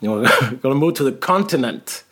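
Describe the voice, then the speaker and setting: A man from Iceland with an Icelandic accent, chatting casually in a dry, deadpan way with many halting fillers. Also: medium pitch. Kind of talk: casual chat.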